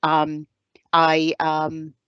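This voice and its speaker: A Jamaican woman in her thirties, a soldier giving a hesitant presentation over a video call, pausing often on fillers. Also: low voice, completely flat delivery, a bit bright, clean tone, dry recording, muffled recording. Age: 30s. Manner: hesitant, many filler pauses. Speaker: serving army staff sergeant giving a talk.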